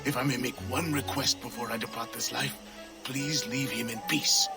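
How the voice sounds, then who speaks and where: A man acts out an ailing colonial gentleman and faction leader in a game cutscene. He speaks formally and with measured dignity at a middle pitch, over clear background music. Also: fairly even intonation, lightly acted. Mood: courteous, weary. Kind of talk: dramatic video game cutscene acting. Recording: music playing behind the voice.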